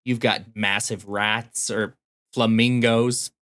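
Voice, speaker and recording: A man's voice: lowish voice, level tone, slow pace, fairly bright tone, smooth. A man co-hosting a podcast, talking in a casual, conversational style. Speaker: podcast co-host. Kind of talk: casual chat about video games.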